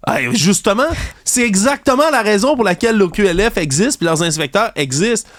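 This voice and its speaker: Indignant Québécois man, higher voice, dry. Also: expressive, brisk, a bit bright, dry recording. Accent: Québécois French. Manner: indignant, ranting, sarcastic, mocks opponents in high voices. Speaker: commentary show host. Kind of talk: heated opinion commentary.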